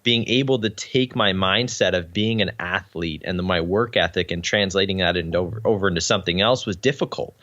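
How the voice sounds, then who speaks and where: Low-voiced Canadian man, dull remote audio. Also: fairly quick, few pauses, sharp tone. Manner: earnest, uses many ums. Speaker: podcast guest. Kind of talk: casual interview talk.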